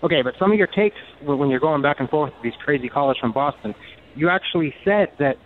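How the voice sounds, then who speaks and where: Fast, insistent male caller, muffled line. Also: a little high, expressive, slight background noise. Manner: insistent, argumentative. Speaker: caller to sports radio show. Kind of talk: call-in debate.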